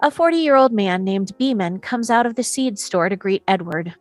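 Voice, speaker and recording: A woman's voice: mid-range voice, lively intonation, normal speed, dry recording, faint music behind. A woman narrator reading screenplay action and scene descriptions at a table read. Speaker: narrator reading stage directions.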